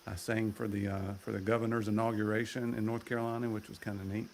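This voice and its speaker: A man with a low voice, chatting easygoing as a guest, rambling with fillers. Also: little pitch movement, fast talker, gravelly. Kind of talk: casual chat.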